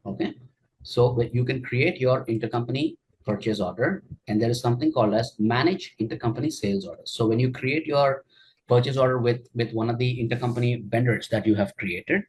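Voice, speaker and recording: A man teaching a software tutorial during a screen share, explaining each step with frequent fillers and check-ins. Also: mid-range voice, brisk, slight background noise, low-quality recording. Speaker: software trainer.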